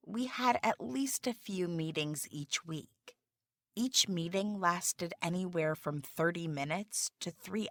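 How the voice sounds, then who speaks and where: Woman reading a job interview script real slow, lowish voice, dry close mic. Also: fairly bright tone, dry recording. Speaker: job candidate in a practice interview. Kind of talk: scripted dialogue for English learners.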